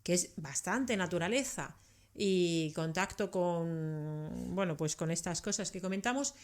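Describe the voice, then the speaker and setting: Lower-pitched Finnish woman explaining health topics. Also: unhurried. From Karelia, southeastern Finland. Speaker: interview guest, doctor and science communicator. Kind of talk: conversational expert explanation.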